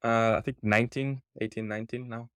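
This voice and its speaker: A man in his thirties from Portugal, a startup cofounder answering interview questions in a relaxed, halting way with lots of fillers. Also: medium pitch, clean tone, no room sound.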